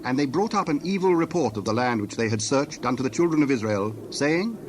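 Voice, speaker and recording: A male narrator with a medium-pitched voice tells a scripture story. He reads solemnly and deliberately, with birdsong and clear ambient noise behind him. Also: animated.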